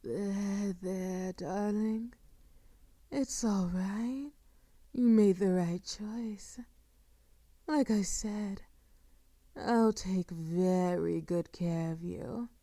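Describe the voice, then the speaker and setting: A woman performs a sadistic vampire mistress for an intimate audio roleplay. She speaks extremely slowly in a dark, velvety tone, recorded close and dry. She is possessive and commanding yet mock-tender, often sinking into a breathy whisper. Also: medium pitch, no room sound. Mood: soothing, possessive. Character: sadistic, seductive female vampire, possessive and doting.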